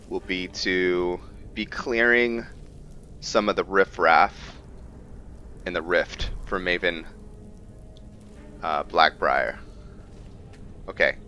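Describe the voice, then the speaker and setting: A man's voice: sits low, pushed and bright, slight background noise. A man hosting a gaming video, giving casual let's-play commentary as he plays.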